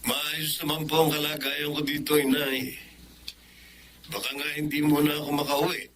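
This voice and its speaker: A man's voice: on the high side, flat delivery, moderate pace, crowd noise, lightly acted. A Filipino man playing a dutiful, earnest adult son in a radio drama. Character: Ramon, dutiful, earnest engineer son working abroad.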